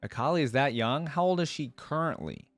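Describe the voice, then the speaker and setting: A man's voice: fairly high pitch, lively intonation, fairly quick, no room sound, soft background music. A man giving casual reaction commentary, musing aloud with curiosity as host.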